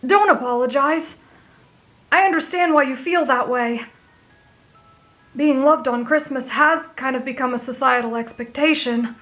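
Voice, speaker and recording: A woman voicing a kind, naive teenage boy demon slayer with a gentle, sincere way of explaining things, in an anime fan audio roleplay. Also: sits high, a little slow, long pauses, soft background music.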